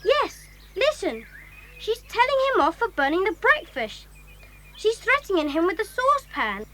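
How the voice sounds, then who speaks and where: A woman's voice: very high voice, very animated, moderate pace, background noise. A woman voicing an eager, chatty schoolboy in a children's audio drama. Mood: excited, amused.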